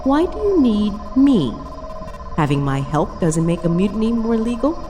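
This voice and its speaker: A woman's voice: medium pitch, very animated, moderate pace, over music, lightly acted. A woman playing a cool, analytical senior starship officer in a sci-fi audio drama, dry and precise in manner. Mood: sceptical. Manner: dry, precise, matter-of-fact.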